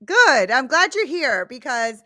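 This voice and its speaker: An enthusiastic woman interviewer speaks in a casual, gushing way, often checking in with "right?". Her voice sits fairly high. The recording is dry and close. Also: very animated, measured pace, sharp tone, dry recording. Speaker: podcast host.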